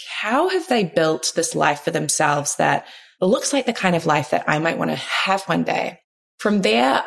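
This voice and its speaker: New woman, thirties, low voice, gravelly texture, thoughtful interview guest. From New Zealand. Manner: thoughtful, frequent hedges like "kind of". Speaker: guest, founder and consultant. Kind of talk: reflective interview conversation.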